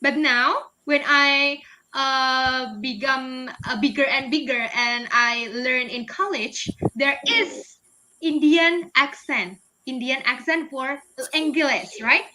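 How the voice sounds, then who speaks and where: A woman's voice: high-pitched, steady tone, takes it slowly, pushed and bright, a little hiss or hum, rough recording. A young woman with an Indonesian accent, answering webinar questions in a chatty way, laughing often, with many fillers and restarts. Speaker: guest speaker, English teacher.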